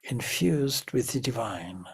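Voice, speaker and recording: Slow, husky man guiding a meditation. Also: medium pitch, lively intonation. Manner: measured, gently instructive with long pauses. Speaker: meditation teacher. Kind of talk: guided meditation.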